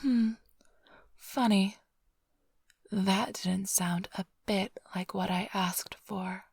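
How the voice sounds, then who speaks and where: A woman playing a flirtatious, smug vampire thief in an intimate ASMR roleplay, teasing and sly in a breathy, often whispered voice. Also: medium pitch, steady tone, very slow, darkish tone, no room sound, clean studio sound, full character voice. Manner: teasing, purring, theatrically sly. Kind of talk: ASMR roleplay, intimate close address to listener.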